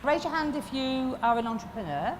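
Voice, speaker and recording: A woman in her fifties with a Yorkshire accent, giving a blunt, lively motivational talk to a live audience. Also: high voice, a little fast, slight background noise, poor audio. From Lancashire, England. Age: 50s. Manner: lively, blunt and swearing, asks the crowd questions. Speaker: motivational speaker. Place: expo stage before a live audience.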